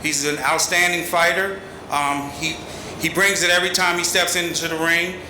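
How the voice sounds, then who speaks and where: A man's voice: on the high side, flat delivery, moderate pace. A middle-aged man reading scripted announcements as emcee at a podium. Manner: formal, scripted delivery. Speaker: press conference emcee. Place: large arena press conference stage. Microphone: podium microphone. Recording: echoey hall with noise.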